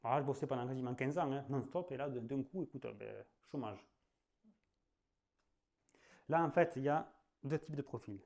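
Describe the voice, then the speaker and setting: A man in his late thirties with a southwestern French accent, giving a sales masterclass in a relaxed, rambling way, leaning on fillers and laughing at himself. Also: medium pitch, normal speed, creaky, pauses often. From southwest France. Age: 30s. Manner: chatty, loose, full of fillers, laughs at himself. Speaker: sales trainer. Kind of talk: casual spoken masterclass.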